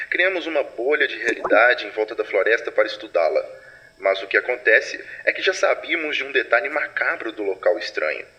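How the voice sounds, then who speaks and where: A man playing a formal, authoritative patrol leader who reads secret archive files in measured, solemn sci-fi audio drama narration. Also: medium pitch, pushed and bright, low-quality recording. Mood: grave, ominous.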